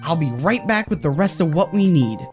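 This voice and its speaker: Woman playing Fred, an animated, scheming fixer. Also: sits low, a little fast, faint music behind. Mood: commanding, scheming. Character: scheming, commanding, sinister fixer named Fred. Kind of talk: audio horror-comedy acting.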